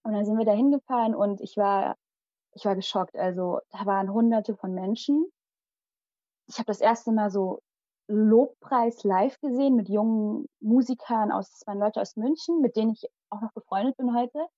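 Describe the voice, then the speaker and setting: A young woman whose first language is Malayalam, telling a personal story in a lively, dramatic way as an interview guest. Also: mid-range voice, normal speed, no room sound, lo-fi sound.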